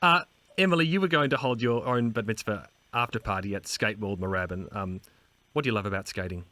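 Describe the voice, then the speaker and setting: A man's voice: on the low side, a little fast, sharp tone, no echo. A man with an Australian accent hosting a playful chat with guests in a jokey, chirpy manner. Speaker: show host.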